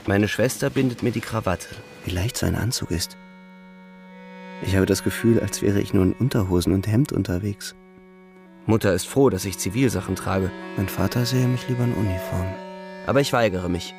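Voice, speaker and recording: An actor playing a young, weary, shaken soldier on leave, narrating his thoughts in a halting, introspective radio-drama manner. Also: fairly low pitch, creaky, over music. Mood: pensive. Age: young adult. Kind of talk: radio drama, first-person interior narration.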